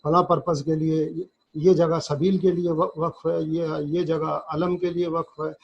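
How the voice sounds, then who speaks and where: A man with a Pakistani accent giving a law lecture, halting and deliberate, reading formal text and then explaining it, repeating phrases as he goes. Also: a little high, level tone, breathy edge, lo-fi sound. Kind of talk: lecture reading legal text aloud in English and explaining it in Urdu.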